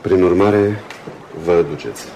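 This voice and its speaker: An actor playing a concerned, courteous ship's captain in a Romanian radio play, measured and formal. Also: male voice, low-pitched, fairly even intonation, warm tone, slight background noise. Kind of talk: Romanian radio theatre acting.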